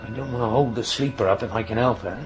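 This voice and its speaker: An actor playing a gruff, irritable signalman and father in a radio drama, with a brusque, impatient manner. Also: medium pitch, fast, over loud music, close to an everyday voice, low-quality recording. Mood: busy, brisk. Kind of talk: naturalistic radio drama acting.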